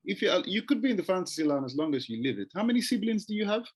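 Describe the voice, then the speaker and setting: A man's voice: sits high, very animated, rapid-fire, darkish tone, breathy edge. A man hosting an interview show, upbeat, rephrasing and repeating his questions. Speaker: host.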